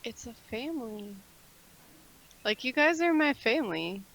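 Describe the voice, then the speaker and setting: Young woman guest, higher bright voice; giggly, soft-spoken, sincere. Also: animated, measured pace, creaky. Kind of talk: casual livestream chat.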